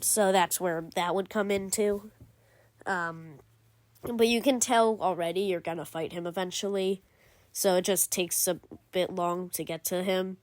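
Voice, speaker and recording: A woman's voice: lowish voice, steady tone, unhurried, a little mellow, no echo. A woman giving casual commentary as a gaming video creator, rambling with lots of fillers and self-corrections. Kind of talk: casual game commentary ranking.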